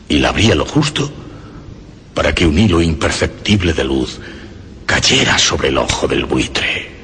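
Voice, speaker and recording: A deranged, cunning killer confesses with morbid pride in a radio horror drama. The voice is very low and theatrical, brooding through long pauses, then swelling in wide melodic swoops. It rings in a long, cavernous reverb. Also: male voice, a bit bright, heavily acted. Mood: sinister, obsessive. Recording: long reverb, faint background noise.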